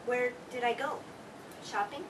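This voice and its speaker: A high female voice comes from a distance over clear noise. An actress plays a lively forty-year-old mother who teases her children in a family stage play. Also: unhurried, natural voice. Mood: wry. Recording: distant, noisy live stage recording.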